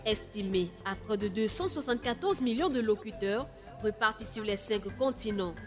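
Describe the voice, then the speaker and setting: A woman's voice: mid-range voice, animated, moderate pace. A woman reading a scripted promotional announcement for a radio programme. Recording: loud music bed under voice. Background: loud music.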